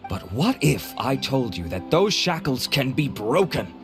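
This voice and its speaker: An actor playing a handsome, vain, suave inventor who gives a grandiloquent, theatrical sales pitch in a video game. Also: male voice, big pitch swings, measured pace. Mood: grandiose. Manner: pompous, grandiloquent. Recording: music bed behind voice.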